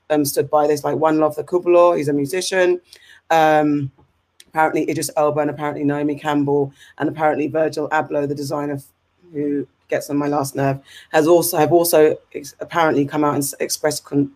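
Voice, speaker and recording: A woman with an English accent, hosting a casual discussion show, talking in an animated, rambling way with fillers and restarts. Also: deep voice. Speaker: podcast host.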